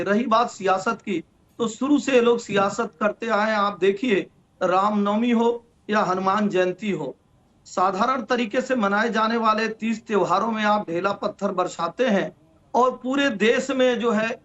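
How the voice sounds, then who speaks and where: A high-pitched man speaks as a political spokesman. His delivery is formal and emphatic, and the remote feed sounds dull and narrow. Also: fairly bright tone. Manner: emphatic, declarative. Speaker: political party spokesman, debate guest.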